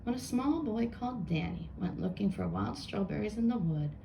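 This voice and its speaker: A woman reading a children's book aloud with expressive narration. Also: mid-range voice, normal speed, gravelly, a little hiss or hum, low-quality recording.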